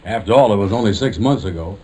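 A man's voice: fairly low pitch, expressive, fast talker, dark tone, husky. An actor playing an easygoing, blunt, girl-chasing lodge brother in a 1950s radio sitcom, with folksy, ungrammatical speech and pompous big words. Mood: blunt warning. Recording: slightly noisy 1950s radio recording.

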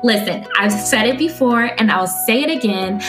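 A woman's voice: on the high side, expressive, normal speed, music in the background. A woman hosting a podcast, speaking earnestly and emphatically, with phrases stacked in rhythm. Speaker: podcast host. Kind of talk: scripted podcast intro and interview hosting.

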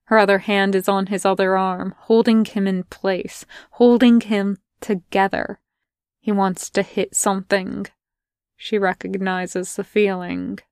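Woman narrator, measured and even, crisp clear voice, dry close sound. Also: medium pitch, no echo. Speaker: podfic reader. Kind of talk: fanfic audiobook narration.

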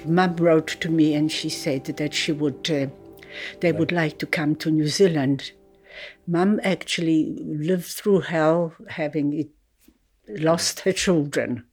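An older woman from Poland, slowly and thoughtfully recalling her life in an interview, with soft laughs. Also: deep voice, fairly even intonation, breathy edge, faint music behind. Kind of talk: reflective personal recollection.

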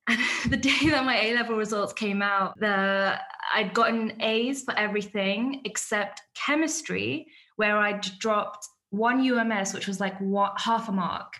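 A woman's voice: mid-range voice, measured pace, few pauses, pushed and bright. Young woman, podcast guest; candid, chatty storyteller with a mid-range voice. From born in Iraq, raised in London. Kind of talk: casual interview chat.

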